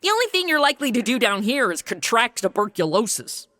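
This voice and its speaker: An actress playing a flippant, sarcastic young woman from today in a comedy audio drama, with snarky deadpan quips. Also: fairly high pitch, a little fast.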